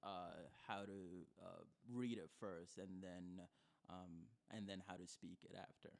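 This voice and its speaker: The good-natured guy in the guest chair of a casual podcast, a Kizigua speaker from Kenya, telling his story haltingly in a lowish voice. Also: fairly even intonation, vocal fry. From born in Kenya to Somali parents, lives in Idaho. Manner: cheerful, giggly, hesitant phrasing. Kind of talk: casual chat.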